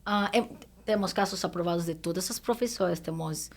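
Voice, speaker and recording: A woman with a foreign accent in Portuguese, a non-native speaker talking haltingly as a guest in a conversational podcast interview. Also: lowish voice, creaky. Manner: halting, searching for words. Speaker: guest immigration professional.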